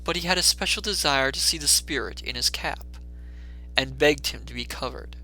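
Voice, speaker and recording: A man's voice: medium pitch, moderate pace, pushed and bright, rough recording. A man narrating a classic story in a dramatic audiobook style.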